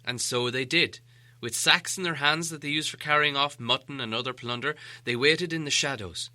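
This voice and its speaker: A man reading a storybook aloud as an animated audiobook narrator. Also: medium pitch, moderate pace.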